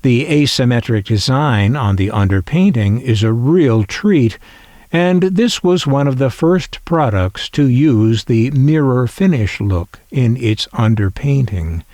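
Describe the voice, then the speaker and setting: A man speaks slowly and lingers on each detail, his pitch rising and falling in a lively way. He shows off a collectible with dry, wry humor. The recording is close and dead, with no room sound. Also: no room sound. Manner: dry, wry asides while reading aloud from a manual. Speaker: collector video host. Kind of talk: unhurried descriptive show-and-tell.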